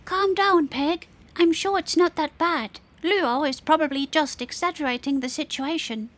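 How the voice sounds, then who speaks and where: A woman voicing a small, cheeky, stormy female adventurer in an audio drama, brisk and impatient with a dryly teasing manner. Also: high-pitched, pitched below the performer's own voice, lightly acted. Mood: dismissive.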